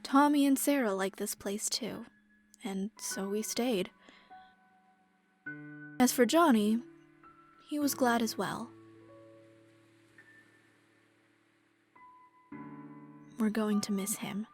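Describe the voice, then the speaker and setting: A woman playing a gentle, resigned widowed mother and caregiver in a game dub, speaking in a subdued, reflective way. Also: a little high, takes it slowly, pauses often, faint music behind.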